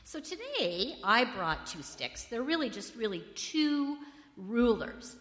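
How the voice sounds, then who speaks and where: Loud-voiced woman in an echoey church. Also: a little high, big pitch swings, slow. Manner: friendly, asks children questions. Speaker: children's story leader at church. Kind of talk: children's sermon. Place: church sanctuary. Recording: echoey hall with long reverb.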